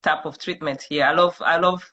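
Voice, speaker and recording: A woman's voice: deep voice, fairly even intonation, normal speed, sharp tone, dull audio. A woman with a Rwandan accent, a mental health professional explaining things conversationally as a guest. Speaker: psychiatric nurse practitioner, guest.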